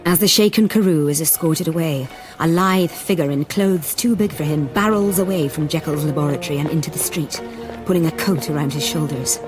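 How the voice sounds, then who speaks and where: An actress as a composed storyteller, giving scripted dramatic narration for a gothic radio play. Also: female voice, low-pitched, a little fast, close to an everyday voice, low-quality recording. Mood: suspenseful. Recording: loud music under voice.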